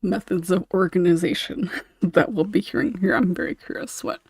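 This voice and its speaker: A woman's voice: on the low side, moderate pace, slightly rough, no room sound, clean studio sound. A woman hosting a casual panel discussion, chatty and giggly. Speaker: podcast host.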